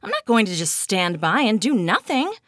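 A woman voicing a brash, quick-tempered female TV renovation star who talks bluntly and sarcastically. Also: fairly low pitch, big pitch swings, fairly bright tone, no room sound, clean studio sound. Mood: fiery.

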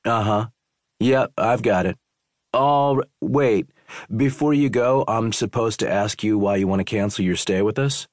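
A man's voice: lowish voice, measured pace, no echo, clean studio sound, voice pushed up, lightly acted. An actor playing a bumbling young male hotel clerk on a phone call, stumbling and hesitant. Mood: flustered. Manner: fumbling, hesitant.

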